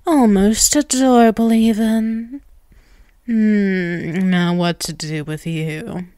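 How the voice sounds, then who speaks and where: A woman's voice: medium pitch, fairly even intonation, mellow, no room sound, studio quality, close to an everyday voice. A woman voicing a dominant, ageless vampiress in an ASMR roleplay, teasing and condescending, drawing out her words. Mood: teasing, predatory. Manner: slow, condescending, teasing, with drawn-out words and chuckles. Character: dominant, taunting ageless vampiress toying with a captive human.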